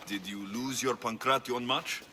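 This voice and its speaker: A man's voice: medium pitch, fairly even intonation, measured pace, bright, forceful tone. A man acting a capable, sardonic Greek mercenary in a video game cutscene, with a dry delivery. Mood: wry, skeptical. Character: wry, capable male Greek mercenary for hire.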